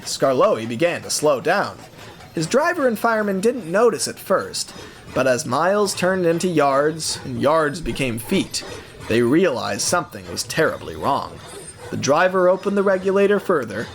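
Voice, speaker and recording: Casting: male narrator for an audio drama, expressive, very varied pitch, slight background noise. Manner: expressive storytelling. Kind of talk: scripted storybook narration.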